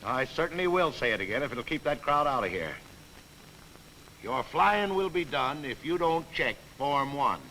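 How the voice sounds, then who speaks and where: Sceptical, wisecracking keeper of dead pilots, old training film, punchy and animated, full of slang. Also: male voice, medium pitch, moderate pace, rough recording. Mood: wry, grumbling. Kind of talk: 1940s training film acting. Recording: old film soundtrack, background noise.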